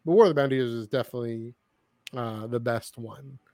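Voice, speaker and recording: A man's voice: medium pitch, warm tone, no echo. A man co-hosting a podcast in casual discussion, rambling and often cutting into his own sentences. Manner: halting, self-interrupting, rambling. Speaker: podcast co-host. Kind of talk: casual discussion of franchise books and comics.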